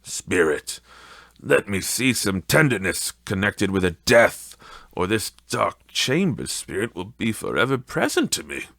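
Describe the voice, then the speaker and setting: A man's voice: fairly low pitch, sing-song, a little slow, gravelly, pushed and bright, no echo, heavily acted. A man acting a remorseful old miser in an audiobook story, pleading solemnly and dramatically.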